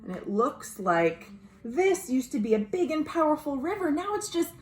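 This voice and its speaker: An American woman reading a children's story aloud, expressive and dramatic, pausing to explain things simply. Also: high voice. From United States. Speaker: librarian, storytime host. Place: at home. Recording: roomy, echoey home recording.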